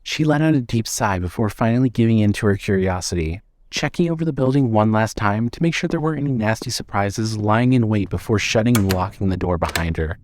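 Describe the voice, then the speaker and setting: A man narrating a scripted story in a measured, suspenseful way. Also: fairly low pitch.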